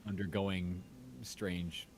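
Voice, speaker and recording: A man's voice: sits low, little pitch movement, very slow. A man in casual conversation, rambling and wandering off on digressions. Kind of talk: casual chat.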